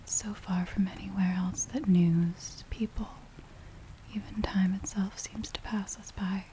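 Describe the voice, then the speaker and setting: A woman playing a frightened villager in a soft, breathy, whispered ASMR roleplay, speaking in a hushed, hesitant way. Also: mid-range voice, close to an everyday voice, poor audio. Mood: hushed, foreboding. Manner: hushed, hesitant, slow storytelling. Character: frightened village woman pleading with a werewolf tracker. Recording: steady rain-like background noise. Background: rain sounds.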